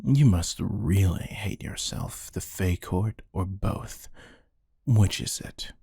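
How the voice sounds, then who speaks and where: A man playing a menacing, amused hired killer who taunts a captive in a deadpan, unhurried ASMR roleplay monologue. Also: deep voice, creaky, breathy edge, no room sound, studio quality, full character voice. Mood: probing, cold.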